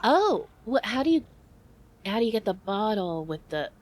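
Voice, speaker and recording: A woman co-hosts a comedy advice podcast with a middle-pitched voice. She improvises in casual banter. Her delivery is conversational and explanatory. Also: sing-song, normal speed.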